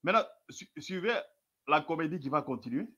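A man's voice: on the high side, expressive, brisk, bright, forceful tone, husky. A man commenting sarcastically on a replayed religious debate, repeatedly urging his listeners to follow along. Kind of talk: mocking commentary over replayed clips.

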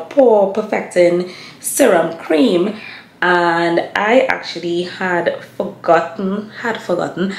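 Woman in her 30s vlogging, chatty, calls folks y'all, echoey room. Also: fairly low pitch. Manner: chatty, addresses viewers as y'all. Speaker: lifestyle vlogger. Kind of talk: casual talk to camera. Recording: echoey room with faint hiss.

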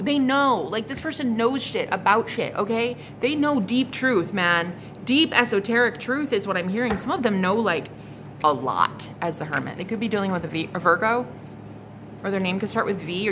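A woman in her thirties doing a casual tarot reading to camera, blunt and exasperated, swearing freely and repeating herself. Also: on the high side. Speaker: psychic tarot reader. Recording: noticeable background noise.

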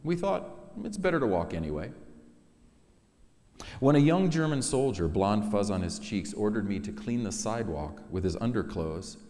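A middle-aged man gives a solemn, dramatic poetry reading, measured and expressive, with weighty pauses. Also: lowish voice. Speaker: poet reading own work. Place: large synagogue sanctuary, at a lectern. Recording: echoey hall reverb.

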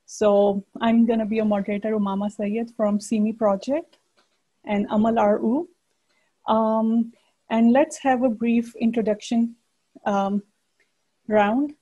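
A woman's voice: on the high side, steady tone, unhurried, mellow, highs cut off. A woman moderating an online panel discussion on a video call, speaking with many hesitant fillers.

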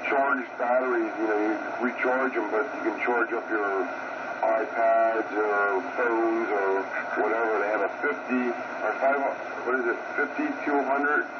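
A man's voice: lowish voice, fairly even intonation, a little slow. A man chatting casually over CB radio in a rambling, folksy way. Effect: voice through CB radio. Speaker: CB radio operator. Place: heard from a radio set's speaker in a room. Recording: noisy dull CB radio, far from mic.

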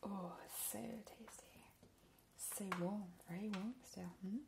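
A woman playing a playful, ghoulish vampire in a whispered ASMR roleplay, breathy and slow, with soothing relish. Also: medium pitch, fairly even intonation. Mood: hushed, ghoulish. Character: playful, ghoulish immortal female vampire baking gruesome treats. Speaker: ASMR host in character. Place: home kitchen, indoors. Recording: noticeable background hiss, soft clicks.

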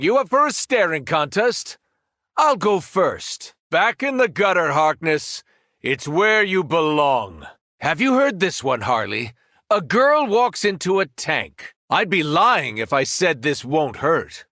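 A fallen alien superhero in a video game, menacing and arrogant. His fairly high, forceful voice swings widely as he delivers cold, mocking threats in a dry, close recording. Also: no echo, heavily acted. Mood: gleeful taunting, then taunting. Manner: taunting, cold mockery, grandiose threats. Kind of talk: video game villain voice acting.

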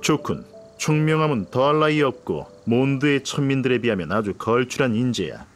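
A pompous older nobleman from a video game, acted out by a man, speaks in a medium register over faint music. He uses lofty, formal phrasing and looks down on everyone, though he softens for flattery. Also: mid-range voice, brisk, lightly acted. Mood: condescending praise. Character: haughty, pompous older aristocratic man, condescending yet easily flattered.

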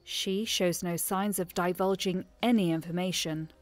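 A woman's voice: lowish voice, steady tone, measured pace, faint music behind. A woman narrating a scripted fantasy audio drama.

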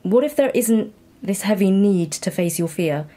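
A woman's voice: mid-range voice, expressive, moderate pace, dark tone. A woman interviewer talking conversationally, candid and reflective as she asks questions and shares her own thoughts.

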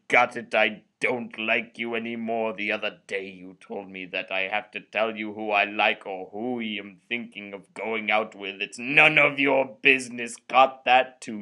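A man's voice: mid-range voice, sharp tone. A young man giving an over-the-top dramatic reading of a letter, emphatic and theatrical, with words drawn out for effect. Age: young adult. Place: indoor room with drop-tile ceiling.

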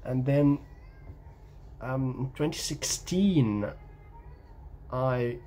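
A man's voice: medium pitch, drawn-out words, mellow. Egyptian man musing in a casual vlog. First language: Egyptian Arabic. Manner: hesitant, many ums and restarts, gestures while talking. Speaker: language-learning vlogger. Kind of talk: casual talking-head vlog. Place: small room at home by a window.

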